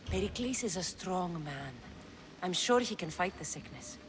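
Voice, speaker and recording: A woman's voice: on the low side, expressive, moderate pace, over music, natural voice. A woman playing a tough, blunt young Greek mercenary in a video game, with a frank, matter-of-fact delivery. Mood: steady, frank. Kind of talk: video game cutscene acting.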